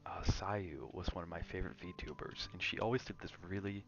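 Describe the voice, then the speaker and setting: A man's voice: low-pitched, fairly quick, a bit bright, highs cut off. A man chatting casually on an ASMR gaming livestream, rambling and drifting between chat and game reactions. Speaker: game streamer.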